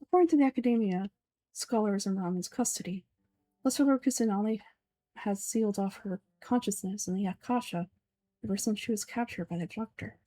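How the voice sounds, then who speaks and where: A woman giving a measured, earnest dramatic reading of video game script as an amateur voice actor. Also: mid-range voice, dark tone, no echo.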